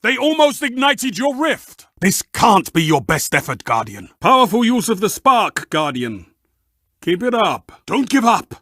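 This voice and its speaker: A theatrical game arena announcer, with a high, very animated voice and a forceful edge. He fires off short, savoring, dramatic calls to spur fighters on, in a dry close recording. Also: a little slow, dry recording, exaggerated voice. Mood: excited, relishing. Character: theatrical, fervent male arena match announcer urging on fighters. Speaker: match announcer.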